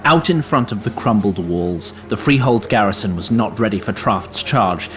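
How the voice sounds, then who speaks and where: A man's voice: fairly low pitch, expressive, normal speed. A man reading dramatic scripted fantasy narration for an audio drama. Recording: studio narration over loud music bed.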